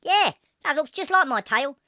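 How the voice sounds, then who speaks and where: A performer with a woman's pitch voicing a goofy, doubtful little squirrel sidekick in a children's cartoon, cheeky and childlike. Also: animated, normal speed, bright, forceful tone, dry recording, clean studio sound. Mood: curious, pleased. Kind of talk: children's cartoon character banter.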